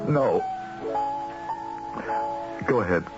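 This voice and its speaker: Strained middle-aged American husband, animated pitch. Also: male voice, extremely slow. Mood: anxious, torn. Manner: hesitant, exasperated, earnest. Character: strained middle-aged husband, financially pressed brokerage analyst. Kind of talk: 1970s American radio drama acting. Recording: muffled old radio broadcast, music bed.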